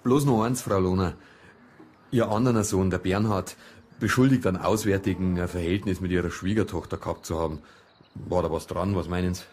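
Male detective acted, very low voice, Bavarian accent, methodical questioner; naturalistic radio drama. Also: steady tone, a little fast, a little mellow, slight background noise, natural voice. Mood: probing. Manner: methodical, probing. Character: male police detective, methodical, probing, persistent.

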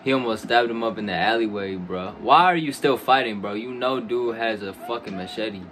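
A man's voice: lowish voice, normal speed, fairly bright tone. A young man giving casual, slangy reaction commentary full of swearing. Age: young adult. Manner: slangy, frequent swearing, informal address. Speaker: reaction video host. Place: small dim room at a gaming chair. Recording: noisy, game audio behind the voice.

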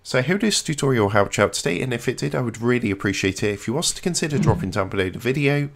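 A man's voice: medium pitch, animated, fast, creaky. Man narrating a step-by-step tutorial. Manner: instructional, step-by-step delivery. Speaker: tutorial host. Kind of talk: scripted how-to screen tutorial narration.

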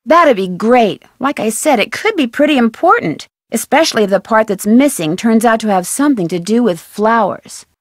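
A woman voicing an earnest, inquisitive teenage detective in a video game, explaining things clearly with an American accent. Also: fairly high pitch, expressive, moderate pace, hardly any pauses, no room sound, clean studio sound. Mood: purposeful. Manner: clear-headed, explaining clues.

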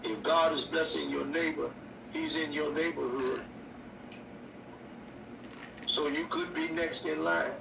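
An older man, a bishop preaching a sermon on his radio show, slow and repetitive with stammered restarts. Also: fairly high pitch, fairly even intonation, creaky. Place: indoor room. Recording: echoey distant mic with noise.

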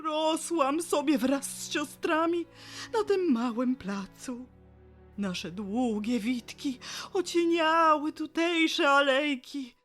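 A woman playing a grieving, vengeful willow tree in an audio drama, with a tearful, theatrical delivery. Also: sits high. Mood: mournful, wistful. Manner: theatrical, tearful, drawn-out lament. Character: weeping willow tree, grieving, vengeful and bitter female spirit.

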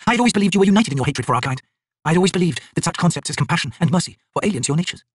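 A man's voice: fairly high pitch, lively intonation, very fast, husky, no room sound, clean studio sound, voice pushed up. A man voicing a proud, bitter female shaman in a fantasy audiobook, with intense, emphatic delivery. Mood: cold scorn.

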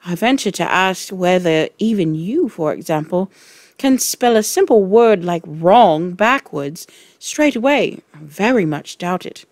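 A woman's voice: lowish voice, animated, unhurried, a little mellow, no echo. Woman as an earnest, defiant schoolteacher. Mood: firm, defiant. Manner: earnest, firm, polite. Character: principled young woman schoolteacher, politely defiant.

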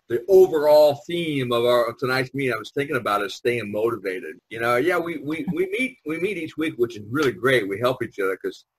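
A man's voice: medium pitch, breathy edge, no room sound, poor audio. An older man hosting a casual group chat among artists over an online call, speaking in a rambling way peppered with "you know". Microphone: online video conference call.

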